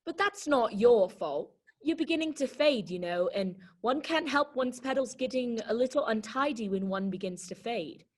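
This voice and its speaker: A snooty garden flower voiced by a woman looks down on everyone in a children's storybook play. The voice is high and lively in its swings, with a condescending, snippy edge. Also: normal speed, hardly any pauses. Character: snooty, blunt female talking garden flower. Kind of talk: children's readers theater read-aloud.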